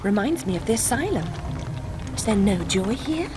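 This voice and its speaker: A woman playing a composed, sharp-tongued, morose young woman in a video game, speaking in prim, formal, dry phrases. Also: mid-range voice, sing-song, normal speed, music in the background, close to an everyday voice. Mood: pensive. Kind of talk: video game character acting.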